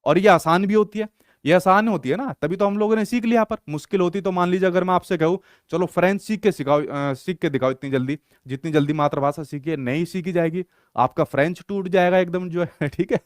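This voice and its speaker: Young male teacher, repetitive lecture style, answers own questions, slightly high voice, dry sound. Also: a little fast, no room sound. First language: Hindi. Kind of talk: online exam-prep lecture.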